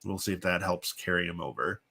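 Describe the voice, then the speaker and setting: A man with a congested, sniffly voice from a chest cold, hosting and giving casual, halting play-by-play commentary on simulated wrestling. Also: low voice, creaky, bright, forceful tone, breathy edge. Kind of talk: casual live play-by-play commentary with chatty asides.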